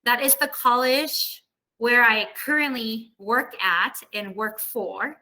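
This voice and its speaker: A woman's voice: on the high side, slow, sharp tone, low-quality recording. A woman professor teaching an online class in a casual, conversational way, explaining things and often checking for agreement, heard over a video call. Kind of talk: casual, conversational lesson to students.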